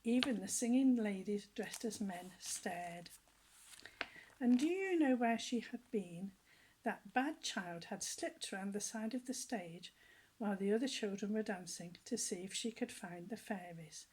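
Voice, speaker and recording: An older woman reading a children's story aloud with gentle, lively storytelling, often dropping to soft whispers and asking her young listeners questions. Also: mid-range voice, unhurried, slightly rough, poor audio.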